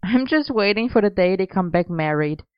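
A woman voicing an excitable, playful young man who talks in an animated, cheeky way. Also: mid-range voice, moderate pace, smooth, no room sound, dull audio, lightly acted. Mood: excited.

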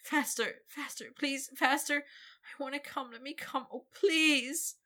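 A woman's voice: high voice, unhurried, pushed and bright, voice pushed up. A woman voicing a desperate young man who pleads breathlessly and stammers.

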